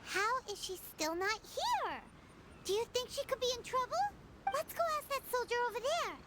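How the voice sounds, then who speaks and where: A woman voicing a small, childlike, cheerful companion girl in a video game, chatty and excitable. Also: very high-pitched, normal speed, heavy background noise. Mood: puzzled. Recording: game music behind the voice.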